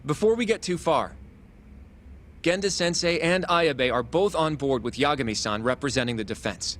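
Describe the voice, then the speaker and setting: A man's voice: fairly high pitch, animated, moderate pace, fairly bright tone. A man voicing a polite, earnest, slightly nervous young lawyer in an English game dub. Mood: eager.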